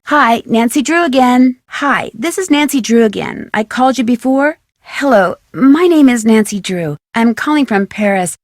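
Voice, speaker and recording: An actress playing a curious, polite, chipper young female detective in a video game, asking things courteously and clearly. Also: on the high side, expressive, normal speed, hardly any pauses, no echo, clean studio sound, natural voice. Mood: earnest, puzzled. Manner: inquisitive, courteous, clearly enunciated questioning. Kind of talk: scripted video game dialogue.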